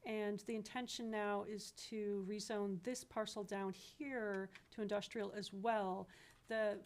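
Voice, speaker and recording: A woman explains a case to a board as a staff presenter, carefully and haltingly, correcting herself as she goes. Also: medium pitch, level tone, darkish tone. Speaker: county planning staff presenter. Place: public meeting room.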